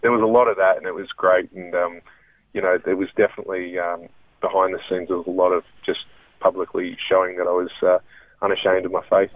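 A man's voice: low voice, level tone, dry recording. An Australian man from Melbourne, a comedian and impressionist, speaking earnestly in a casual interview, often restarting his sentences. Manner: earnest, halting, many restarts. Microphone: phone. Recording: tinny dry phone line.

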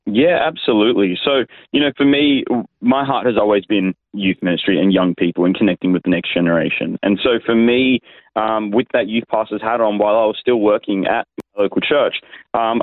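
Male pastor guest, Australian, lowish voice, conversational, thin phone-band audio. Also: dry recording. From Sydney, Australia. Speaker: interview guest, youth pastor. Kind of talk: casual conversational storytelling. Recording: tinny narrow phone-like line.

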